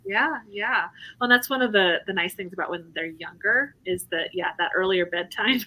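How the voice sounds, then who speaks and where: A woman with a bright, forward voice hosting a relaxed live chat. She laughs often and keeps the talk moving, reading out questions from viewers. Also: medium pitch, normal speed, rough recording.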